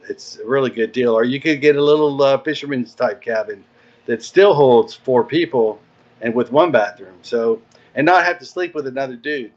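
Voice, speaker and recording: Through slightly muffled, lo-fi audio, a man with a mid-pitched voice answers casually as an interview guest. His manner is easygoing and plainspoken. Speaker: podcast guest, marina director. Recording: dull, lo-fi call audio.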